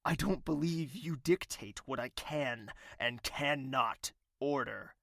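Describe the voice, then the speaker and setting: A man's voice: mid-range voice, takes it slowly, vocal fry, a bit bright, a little hiss or hum. An actor, a man, playing a cold, commanding royal ruler in an audio drama, stern, clipped and authoritative.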